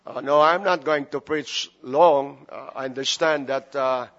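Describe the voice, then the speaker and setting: A man's voice: medium pitch, a little slow, fairly bright tone, highs cut off. A man from the Philippines with a Filipino accent, preaching a sermon and praying as a guest pastor, chatty with joking asides and many fillers. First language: Filipino language. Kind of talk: sermon with prayer and humor.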